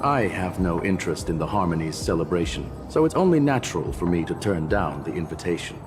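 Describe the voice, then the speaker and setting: Erudite game intellectual, low but very animated intonation, precise and theatrical, music behind. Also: male voice, brisk. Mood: amused, analytical. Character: detached, erudite intellectual devoted to reason. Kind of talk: scripted video game monologue. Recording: background music under dialogue.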